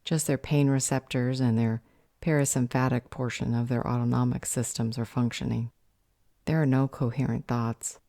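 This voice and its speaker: A serious sorceress played by a woman speaks very low for a woman, with a dark, mellow tone. Her delivery is measured and clinical, in a close, dry audio roleplay. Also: steady tone, no echo. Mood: clinical, grave. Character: serious, analytical female magic user.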